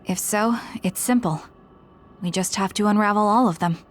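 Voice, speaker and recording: A woman's voice: medium pitch, normal speed, close to an everyday voice. An actress playing a reserved, blunt and pensive young female fighter in a video game, with guarded, matter-of-fact delivery. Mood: cool, matter-of-fact. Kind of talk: English video game voice acting, dramatic dialogue.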